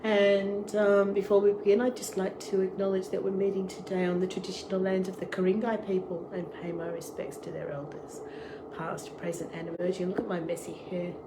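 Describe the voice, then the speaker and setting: Hoarse Australian woman with a cold. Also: medium pitch, flat delivery, a little fast. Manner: chatty, greets each viewer as they join. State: has a cold, losing her voice. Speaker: online tour and cooking class host. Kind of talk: live-streamed casual chat. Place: outdoor deck, then home kitchen. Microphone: device camera. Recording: wind and ambient noise outdoors.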